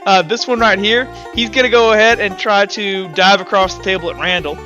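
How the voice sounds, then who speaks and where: High, bright-voiced male game master. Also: steady tone, brisk, dull audio, soft background music. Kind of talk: narrating a tabletop roleplaying game.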